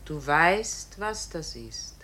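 An actress playing a calm, otherworldly inner voice that summons a man, solemn and measured, in a radio play. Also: sits low, heavily acted. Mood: calm, revealing. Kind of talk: German radio play acting. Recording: noisy old radio play recording.

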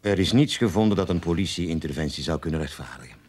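An actor playing a guarded bank director in a radio play, formal, hesitant and curt, with a husky voice. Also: male voice, low voice, animated, quick pace, a little mellow. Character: stiff, guarded male bank director, officious.

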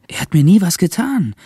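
An actor playing a cool, rough drifter and lover in a German radio crime drama, speaking tersely and low-key. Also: male voice, dark tone, no room sound, exaggerated voice.

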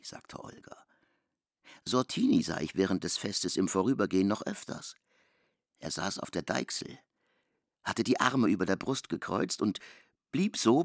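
A brooding man storyteller in a radio play. His low voice is creaky and moves around a lot in pitch, recorded very dry. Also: fairly bright tone, no echo, heavily acted. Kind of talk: literary narration in a radio play.

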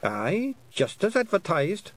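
A man's voice: high-pitched, huge pitch swings, slow, voice pushed up, exaggerated voice. A man voicing a cheerful, fearless small fairy warrior in a storybook, with a Scottish accent and a hearty manner. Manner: exuberant, hearty dialect speech with "ach" and "aye".

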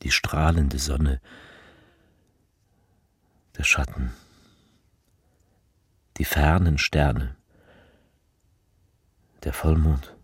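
An actor playing a weary, solemn political orator in a radio drama monologue, declaiming short repetitive phrases with pauses. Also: male voice, very deep voice, fairly even intonation, slightly rough, dry recording, clean studio sound, exaggerated voice. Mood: solemn, hushed. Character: solemn, weary male orator delivering incantatory fragments. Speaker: actor performing collaged political speech.

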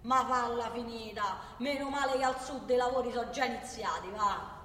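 A woman's voice: on the high side, normal speed, far from the mic, lightly acted. An actress playing an exasperated, chatty working-class woman with a Roman accent, rambling indignantly and colloquially in a comic radio-theatre monologue. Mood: exasperated, dismissive. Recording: echoey hall from afar, music behind.

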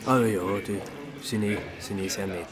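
An actor playing a sheepish, awkward man with an Austrian accent in a radio play, speaking haltingly and colloquially. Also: lowish voice, lightly acted. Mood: reserved, sheepish. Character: sheepish, awkward, hesitant male newcomer. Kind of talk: German radio play, casual dialogue.

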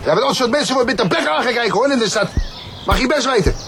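High-pitched grumbling husband. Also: male voice, lively intonation, fast, a crowd in the background. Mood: indignant, grumbling. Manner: complaining, defensive, colloquial. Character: grumbling, indignant husband with a common city manner. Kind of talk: comic audio play. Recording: music behind the voice.

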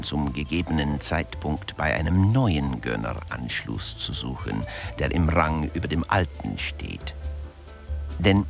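A man's voice: rumbling low, gravelly, exaggerated voice. A man narrating a satirical lecture in a radio play, articulate and emphatic in a mock-scholarly way.

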